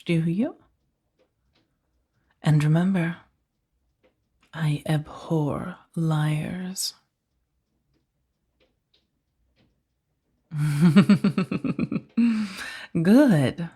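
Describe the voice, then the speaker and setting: A woman playing a powerful, seductive mafia boss in a soft-spoken ASMR roleplay, speaking in a breathy, measured, commanding and teasingly menacing way. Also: lowish voice, exaggerated voice. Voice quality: soft, breathy, often slipping toward whisper. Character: powerful female mafia boss, coolly controlling, seductive kidnapper.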